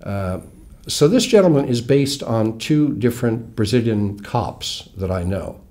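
An American man in his sixties, a guest author explaining things in a wry, measured way, recorded in a small studio on a lapel mic. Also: medium pitch, lively intonation, darkish tone. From United States. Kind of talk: relaxed interview talk. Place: small studio with red curtain.

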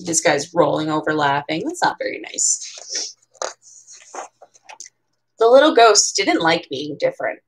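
A woman's voice: sits low, very animated, a little slow, long pauses, slightly rough, low-quality recording. A woman in her twenties with an American accent, reading a children's picture book aloud expressively, with casual chatty asides. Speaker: bookseller reading at a storytime event. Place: small room at home.

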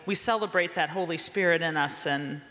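Loud upbeat woman in a church hall. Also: on the low side, fairly quick. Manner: upbeat, encouraging prompts. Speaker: children's ministry leader. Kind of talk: children's story chat. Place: church sanctuary, large. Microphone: handheld mic.

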